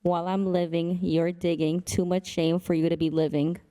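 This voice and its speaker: A teenage girl reading her poem aloud in a steady recital. Her voice is fairly low and warm. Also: normal speed. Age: teens. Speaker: student poet. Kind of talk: poetry reading.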